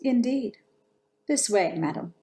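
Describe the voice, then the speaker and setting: A woman's voice: fairly high pitch, animated, slow pace, dark tone. A woman playing a coldly deferential housekeeper of a grand manor, clipped and restrained, in an old-time radio drama. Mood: cool, formal.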